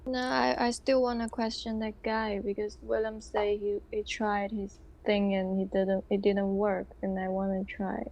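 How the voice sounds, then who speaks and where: A woman's voice: medium pitch, flat delivery, unhurried, hardly any pauses, a little mellow. A woman speaking casually as a player in a tabletop roleplaying game. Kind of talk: casual chat.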